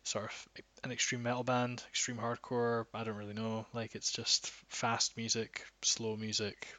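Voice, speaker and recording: A man talking as a podcast guest in a bright, forceful voice with little rise and fall. He rambles, restarting sentences and leaning on fillers. The sound is dry and close. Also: mid-range voice, monotone, moderate pace, dry recording. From Scotland. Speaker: guest, metal band guitarist. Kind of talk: casual podcast chat.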